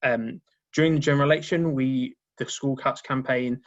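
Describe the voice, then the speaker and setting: A man presents his organisation's work over a conference call. He talks in a halting way, repeating words and restarting his phrases. His mid-pitched voice comes through slightly dull remote call audio. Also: steady tone. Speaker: union marketing officer.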